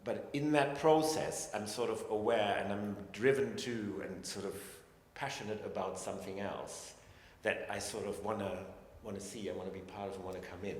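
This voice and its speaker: Hesitant low-voiced man in echoey hall. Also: normal speed, hardly any pauses, slight background noise. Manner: hesitant, searching, many restarts. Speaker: scientist and panelist. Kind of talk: reflective panel talk. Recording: echoey hall with long reverb, faint ambience.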